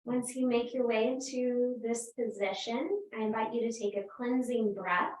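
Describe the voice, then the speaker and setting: A woman yoga teacher guiding a restorative class in a gentle, unhurried, invitational way. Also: on the high side, fairly even intonation. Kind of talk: slow guided restorative yoga instruction. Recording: roomy echo, distant microphone.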